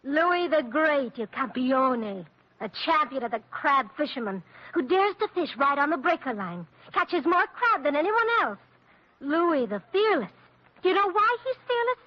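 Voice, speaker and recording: A woman's voice: high-pitched, very animated, moderate pace. An actress playing a passionate, scornful young Italian-American woman spurned in love, in theatrical 1940s radio drama style. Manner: theatrical, emotional, repeats words for emphasis. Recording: dull old radio drama recording.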